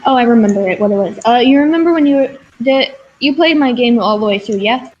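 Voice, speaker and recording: A woman with a lisp and a very young-sounding voice, chatting quickly and casually as a co-host on a stream. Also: a little high, lively intonation. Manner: chatty and quick, with many likes. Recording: dull lo-fi mic with faint noise.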